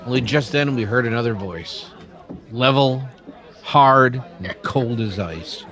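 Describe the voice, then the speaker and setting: A man's voice: medium pitch, a little slow, a bit bright, a faint crowd, lightly acted. A man acting an earnest townsman who tells and plays out a western radio drama with dramatic feeling. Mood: grave, reflective. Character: earnest, reasonable townsman narrating a western tale.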